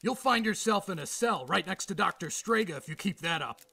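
An actor playing a gruff, dismissive police officer who believes none of it, in a radio drama. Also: male voice, high voice, animated, a little fast, bright, forceful tone, dry recording, heavily acted. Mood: skeptical, impatient. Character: skeptical, tough male police officer.

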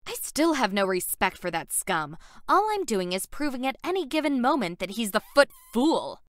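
A woman's voice: a little high, very animated, moderate pace, no room sound, studio quality. A woman voicing a stubborn, driven young female mechanic in a sci-fi visual novel. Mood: scornful.

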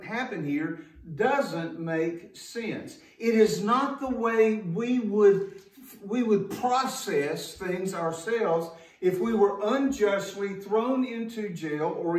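A man preaching a sermon as a pastor, in an emphatic, folksy way with rhetorical questions. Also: high-pitched. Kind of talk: sermon to a congregation. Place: church sanctuary, indoors at a wooden pulpit. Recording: echoey room, distant microphone.

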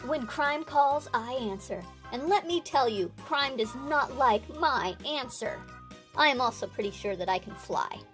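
Lady reading as a brave braggy superhero bunny, kids book, high voice, music behind. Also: animated, measured pace, a bit bright. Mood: boastful. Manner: declamatory read-aloud. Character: bold, boastful male superhero bunny of a storybook.

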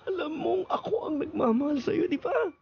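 A woman's voice: very high-pitched, lively intonation, normal speed, gravelly, full character voice. A woman playing a lovesick young man. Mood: earnest pleading. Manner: earnest, pleading. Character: lovesick young male classmate, desperate. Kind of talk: radio drama acting. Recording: lo-fi radio broadcast with faint noise.

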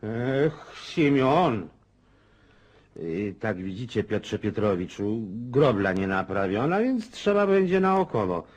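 An actor playing a talkative, grumbling older country landowner in a radio drama, rambling in a folksy, world-weary yet jovial way. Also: male voice, fairly low pitch, sing-song, takes it slowly. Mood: irritated. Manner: rambling, folksy, chuckling, exclamatory.

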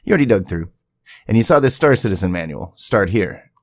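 A man with a deep, creaky voice talks through a software tutorial. The sound is dry and close, with no room ring. His delivery is conversational, dropping in chatty asides as he explains each step. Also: expressive, brisk, dry recording. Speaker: tutorial video creator. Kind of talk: casual screen-share software tutorial. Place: indoors at a home PC, voice-over to screen capture.

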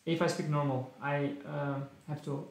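Young man, Russian-tinged English accent, gravelly, thinking aloud in clipped phrases, echoey small room. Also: fairly high pitch, little pitch movement, normal speed. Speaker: chess streamer. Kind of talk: casual live-stream chat while solving puzzles.

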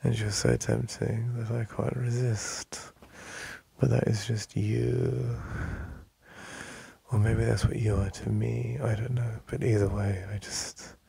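A man with an English accent playing an affectionate, teasing boyfriend in an intimate ASMR roleplay, murmuring in a deep, breathy voice. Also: little pitch movement, warm tone, no room sound, heavily acted. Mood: adoring, intimate. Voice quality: deep, breathy, husky, gravelly. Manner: slow, murmuring, with sighs and lingering pauses.

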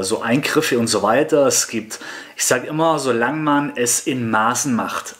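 A man's voice: mid-range voice, a bit bright. Skeptical man with a headset mic. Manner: skeptical, repeats himself, halting restarts. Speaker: makeup artist reacting to videos. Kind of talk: reaction commentary.

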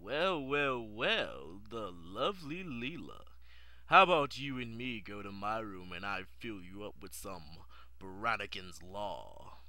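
Man doing a pompous, low cartoon space captain. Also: expressive, sharp tone, exaggerated voice. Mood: smug, flirtatious. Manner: pompous, seductive, drawn-out.

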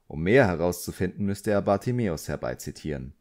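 Male audiobook narrator with a low voice, reading scripted fiction. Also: animated, fairly quick, a little mellow.

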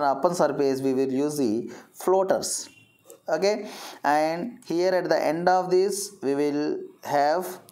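A woman gives an explanatory science lecture. Her voice sits low for a woman and she takes her time, going slowly through the material. Her delivery is didactic, with phrases restated for the learner. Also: darkish tone. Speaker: zoology teacher.

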